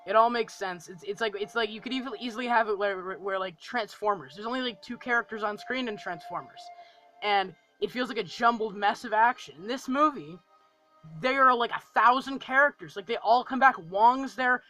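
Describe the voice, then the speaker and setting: Young male reviewer, notably high and bright in tone, gushing, informal, repeats himself for emphasis; music underneath. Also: brisk, rough recording. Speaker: film review vlogger. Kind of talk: casual, enthusiastic movie review chat.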